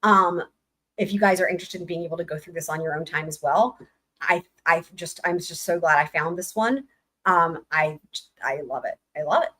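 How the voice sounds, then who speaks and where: A woman hosting a casual livestream, excited and rambling, her voice going hoarse. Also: fairly low pitch, normal speed. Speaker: livestream host.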